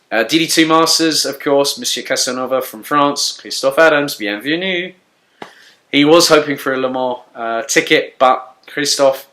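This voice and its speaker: A man's voice: mid-range voice, sharp tone. A man presenting a racing series news update in an upbeat, congratulatory way, with frequent "uh" fillers.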